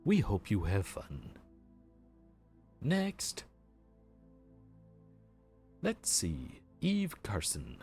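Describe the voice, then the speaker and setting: A man's voice: fairly low pitch, wildly swooping pitch, drawn-out words, long pauses, a little mellow, faint music behind, heavily acted. A man voicing a weary male grim reaper who runs the underworld queue, a sarcastic and exasperated bureaucrat. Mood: puzzled. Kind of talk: audio roleplay.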